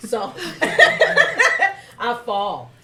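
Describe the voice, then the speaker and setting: A woman hosting a talk show. She tells stories animatedly, with a loud voice that carries and lots of fillers. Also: on the high side, extremely slow, roomy sound, a crowd in the background. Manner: loud voice that carries, lively storytelling, many fillers. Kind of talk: casual chat.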